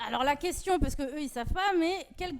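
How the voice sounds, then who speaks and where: A woman's voice: high voice, lively intonation, a little fast, slight background noise. A woman hosting a music quiz talk show, speaking in casual, slangy banter.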